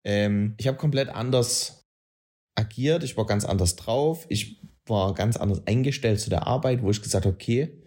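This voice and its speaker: A man's voice: lowish voice, normal speed, dark tone. A man with a Saxon German accent chatting casually on a podcast, rambling, correcting himself and using blunt slang. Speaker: podcast co-host. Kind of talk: casual chat.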